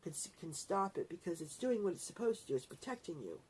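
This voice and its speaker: A woman's voice: on the low side, sing-song, quick pace, darkish tone, breathy edge, low-quality recording. A middle-aged woman vlogger chatting casually to her viewers in a rambling, self-correcting way. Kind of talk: casual talk to camera.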